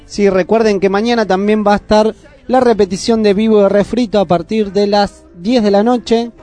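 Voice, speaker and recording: A man's voice: high-pitched, fairly even intonation, normal speed, warm tone, faint music behind. An Argentine man co-hosting a community radio show in a casual presenting style. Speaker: radio co-host.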